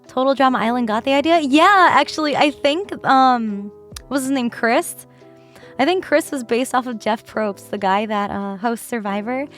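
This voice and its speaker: A woman streamer chatting casually with her viewers, her voice worn from a bad cough, keeping it lowered and laughing often. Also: normal speed, a bit bright, soft background music. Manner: chatty, rambling with fillers. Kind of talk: casual livestream chat.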